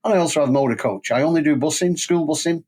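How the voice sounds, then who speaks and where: A man's voice: fairly high pitch, fast talker, a little mellow. Older Englishman with a Manchester accent. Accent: Mancunian English. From England. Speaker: podcast guest, safety training company president. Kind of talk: conversational career storytelling.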